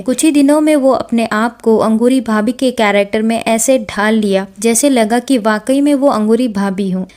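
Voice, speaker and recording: High-voiced woman narrating celebrity news. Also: darkish tone. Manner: mixes English words into storytelling. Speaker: entertainment news presenter. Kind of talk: narrated celebrity controversy story, conversational explainer.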